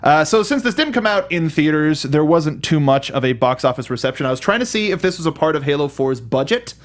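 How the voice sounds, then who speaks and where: A man's voice: on the high side, lively intonation, brisk. Man co-hosting casual review chat. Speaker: co-host of a film review show.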